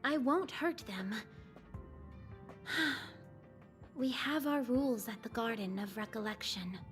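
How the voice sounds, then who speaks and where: An actress voicing a secretive, coaxing woman who guards memories in a video game, speaking in a measured way. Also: fairly high pitch, fairly bright tone, faint music behind. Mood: disappointed, resolute. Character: secretive, softly insistent mysterious woman, keeper of memories.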